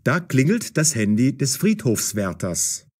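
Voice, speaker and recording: Casting: male story narrator, mid-pitched, lively melody, carefully enunciated. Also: measured pace, very noisy.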